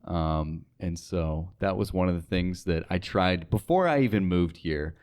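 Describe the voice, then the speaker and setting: Young american guy with a low deep voice, just chatting as an interview guest. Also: a little slow, darkish tone, clean tone. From United States, North Dakota. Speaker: interview guest, radio sales associate. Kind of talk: casual interview chat.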